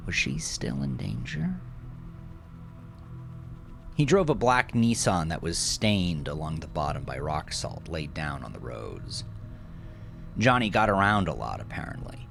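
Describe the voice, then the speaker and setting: A man's voice: low voice, gravelly. A man narrating scripted fiction in a dramatic style, as the narrator of an audio drama. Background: music.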